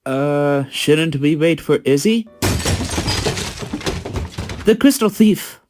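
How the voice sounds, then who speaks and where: A man's voice: fairly high pitch, expressive, extremely slow, over music. A man voicing a confident young male pony sheriff in a fan comic dub, take-charge in manner.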